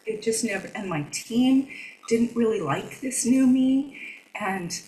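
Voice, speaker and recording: Soft-voiced woman chatting, kinda far from the mic in a roomy space. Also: fairly high pitch, measured pace, noisy background. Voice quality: soft voice that does not carry. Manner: conversational, reflective, soft-spoken. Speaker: podcast guest. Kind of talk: conversational interview.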